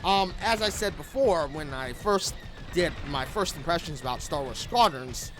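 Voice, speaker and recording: A man's voice: a little high, lively intonation, moderate pace, rough recording. A man giving casual video commentary over gameplay, rambling and often repeating his phrases. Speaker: gaming video creator. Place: room at home. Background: background music.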